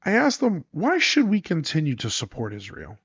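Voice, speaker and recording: A man's voice: a little high, huge pitch swings, normal speed, fairly bright tone, slightly rough, dry recording. A congested man hosting a solo podcast, talking in an emphatic, sarcastic monologue full of rhetorical questions. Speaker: podcast host. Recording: dry, dull lo-fi sound.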